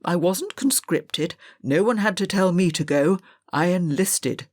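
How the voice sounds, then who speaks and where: A woman's voice: lowish voice, expressive, unhurried, darkish tone, no room sound, clean studio sound. A woman voicing a stern, bullying older male schoolmaster in an audiobook, with a haughty, interrogating manner. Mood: proud.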